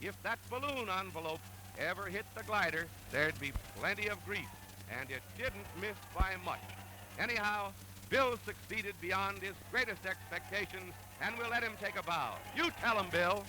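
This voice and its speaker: A man's voice: high-pitched, lively intonation, normal speed, background noise. A man giving breezy, showman-like 1930s newsreel commentary, addressing the audience directly.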